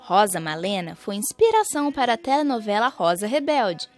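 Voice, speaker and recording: A woman's voice: medium pitch, very animated, brisk, faint music behind. A young Brazilian woman reading scripted narration for a radio documentary. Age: young adult.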